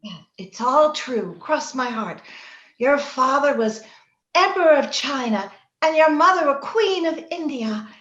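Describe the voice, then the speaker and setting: A woman's voice: sits high, animated, a little slow, fairly bright tone. A woman playing a willful, romantic young girl in an old-time radio drama, earnest and coaxing. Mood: playful, tender. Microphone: remote video call. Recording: roomy, muffled home video-call recording.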